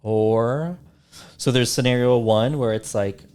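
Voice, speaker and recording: A man's voice: medium pitch, darkish tone, clean tone. A man chatting casually as a podcast host, often restarting sentences as he thinks aloud. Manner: halting, self-correcting, thinking aloud. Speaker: podcast host, naturopathic doctor.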